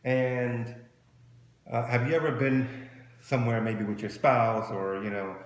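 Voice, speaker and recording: A man's voice: mid-range voice, level tone, a little slow. A man in his forties giving casual, conversational advice as a co-host, often rephrasing his points. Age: 40s. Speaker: co-host of a marriage advice show. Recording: roomy echo with faint background noise.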